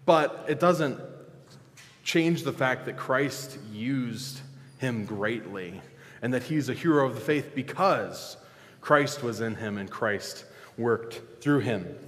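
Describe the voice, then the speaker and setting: A male pastor giving a casual, joking sermon, often restarting and filling pauses with "um". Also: medium pitch. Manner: relaxed, joking, halting with fillers. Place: church sanctuary. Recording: long reverb of a church hall.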